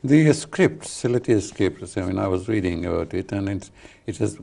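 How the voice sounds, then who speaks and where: A man's voice: lowish voice, lively intonation, normal speed, gravelly, mellow. An older man hosting a studio interview, hesitant, with many fillers and tag questions. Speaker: talk show host.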